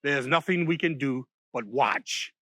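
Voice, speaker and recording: A man voicing a resigned, passive frog in a folktale, speaking slowly and in a measured way. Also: mid-range voice, fairly bright tone, dry recording, voice pushed down, natural voice.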